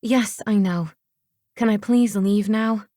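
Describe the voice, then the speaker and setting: A woman's voice: on the high side, normal speed, mellow, no echo, close to an everyday voice. A weary teenage girl, read by a woman narrator in a novel. She sounds anxious and pleading, reluctant to give in, recorded close and dry. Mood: weary, resigned. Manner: tired, pleading, hesitant.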